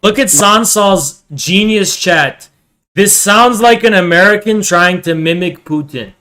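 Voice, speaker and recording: A man's voice: high-pitched, measured pace, bright, forceful tone, rough recording. A man streaming live and reacting, ranting at his chat with profane, repetitive mockery.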